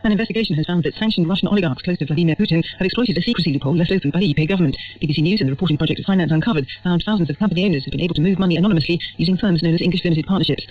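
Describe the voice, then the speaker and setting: A man's voice: on the high side, very fast, few pauses, soft background music. A man reading a scripted news bulletin as a newsreader, heard over the radio. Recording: distant, muffled shortwave radio broadcast.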